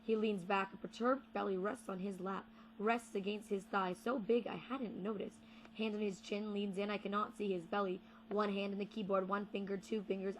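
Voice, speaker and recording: A young woman performing spoken word poetry with deliberate, rhythmic phrasing and repeated words. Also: medium pitch, a little hiss or hum, poor audio. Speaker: slam poet.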